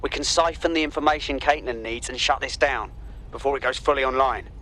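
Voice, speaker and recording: A man's voice: mid-range voice, fairly quick, pushed and bright, natural voice. An actor playing an outspoken male resistance hacker in a video game, with a blunt, casual way of talking. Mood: urgent.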